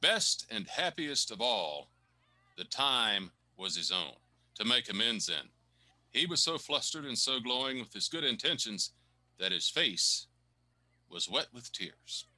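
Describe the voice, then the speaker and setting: A man's voice: medium pitch, very animated, unhurried, pushed and bright, heavily acted. A man as a dramatic storyteller narrating a radio play. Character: dramatic storyteller narrating a Christmas ghost tale.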